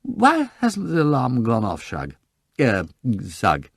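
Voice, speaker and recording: Brief: male reader voicing a sozzled posh gentleman, slurring and giggly, wildly swooping pitch. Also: big pitch swings, unhurried, dry recording, clean studio sound. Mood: solemn tipsy. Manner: tipsy, giggling, slurred and rambling. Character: drunken, giddy aristocratic young gentleman. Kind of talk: audiobook character voice.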